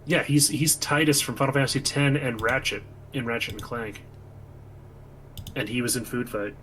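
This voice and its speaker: A man's voice: mid-range voice, fairly even intonation, moderate pace, a bit bright, poor audio. A man co-hosting a stream, talking casually about films and giving his commentary. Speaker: stream co-host. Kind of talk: casual film commentary chat. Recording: clear background noise, room ambience.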